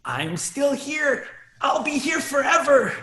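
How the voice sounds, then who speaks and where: A performer playing a clingy, lovesick, nerdy young boy with a husky voice and melodramatic delivery in a sitcom table read. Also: sits high, big pitch swings, slow pace, sharp tone, full character voice. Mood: pleading, dramatic. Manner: melodramatic, swooping between pleading and wailing.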